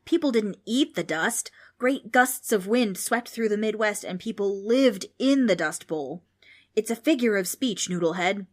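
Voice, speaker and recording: A woman's voice: on the low side, moderate pace, lightly acted. A cantankerous old ghost, acted out by a woman, scolds and grumbles in old-fashioned insults. He rambles and loses his thread, like an exasperated schoolteacher in a children's storybook. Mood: scolding. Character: cranky old male ghost, scolding and absent-minded. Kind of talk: children's audiobook character voice.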